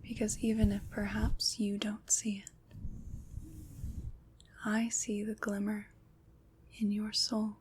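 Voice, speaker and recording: A woman plays a kind, comforting witch in an ASMR roleplay. She whispers very slowly and evenly, leaving deliberate pauses and sounding gentle and reassuring. Noticeable noise sits behind her hushed voice. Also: mid-range voice, completely flat delivery. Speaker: ASMR performer.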